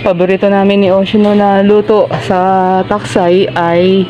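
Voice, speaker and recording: A man's voice: sits high, level tone, a little slow, lo-fi sound, music in the background. A man casually narrating his fishing vlog outdoors, explaining his catch and nets in an easygoing way. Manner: easygoing, explains while working. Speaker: fisherman vlogger. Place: outdoors by boats and in a village. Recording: noisy outdoor phone-camera recording.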